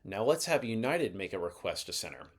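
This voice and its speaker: A man's voice: sits low, big pitch swings, a little fast. A man giving scripted instructional narration as the host of an explainer video, in a methodical, explanatory manner. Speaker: host of an aviation explainer channel.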